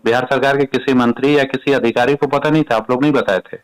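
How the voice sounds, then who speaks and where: A man's voice: mid-range voice, steady tone, quick pace, smooth. A man with a Bihari accent interviewing informally on a recorded phone call, often murmuring short acknowledgements. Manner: frequent short backchannel acknowledgements. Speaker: news editor, interviewer. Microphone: telephone.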